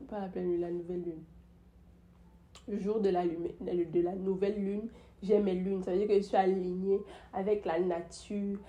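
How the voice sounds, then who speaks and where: A woman's voice: medium pitch, warm tone. A young woman with the flu tells a story about her day in a casual vlog, with many fillers and restarts. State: sick with the flu. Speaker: YouTuber.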